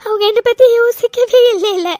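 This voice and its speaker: A woman's voice: very high-pitched, normal speed, no room sound. A young woman voicing a tearful young wife raised in an orphanage, hesitant and stammering, her voice choked with tears. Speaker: voice actor. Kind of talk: audio drama acting.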